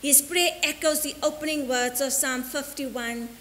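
A middle-aged woman priest from South Africa delivering a measured, deliberate sermon from the altar, slowing down for quotations. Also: high-pitched, steady tone, a bit bright. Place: church sanctuary in front of an altar. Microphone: stand mic at the altar, filmed by a fixed camera. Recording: long church reverb with faint hiss.

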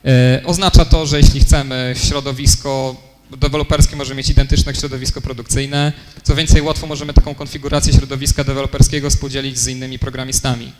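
A man's voice: mid-range voice, little pitch movement, quick pace, hardly any pauses. A young man giving a technical conference talk in a lecture room, explaining step by step with many fillers and self-corrections. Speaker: conference speaker. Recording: long hall reverb, faint noise.

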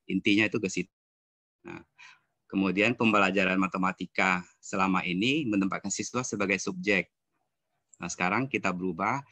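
A man presents at an online seminar. His voice is low and forceful, with a dry sound, and he goes haltingly, repeating phrases. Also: dry recording. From West Kalimantan, Indonesia. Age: 50s. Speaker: student presenter. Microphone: video call.

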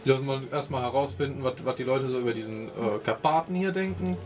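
A man's voice: medium pitch, brisk, a little hiss or hum. A man playing a tabletop role-playing game, chatting casually and colloquially in character. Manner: colloquial, tags sentences with "ne".

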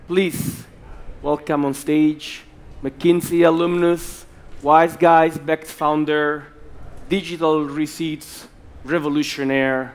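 A man with an Italian accent speaks slowly and deliberately from a conference stage, his voice sitting a little high. He leans on his words with emphatic, animated bursts. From Italy. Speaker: investor and stage host. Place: large conference hall with audience.

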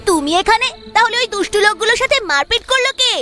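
A woman voicing an excitable, chirpy little village child in a children's cartoon. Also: very high voice, fast, full character voice. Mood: insistent. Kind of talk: Bengali children's cartoon dialogue. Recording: music behind the voice.

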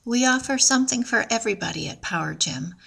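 A woman narrating a scripted promotional video in an even, measured, informative way. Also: on the high side, creaky, breathy edge.

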